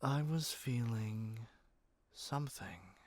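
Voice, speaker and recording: A man's voice: mid-range voice, animated, takes it slowly, darkish tone, dry recording. A man playing a doting yet controlling yandere kidnapper in an ASMR roleplay, speaking intimately to the listener with sweet pet names. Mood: subdued, confiding.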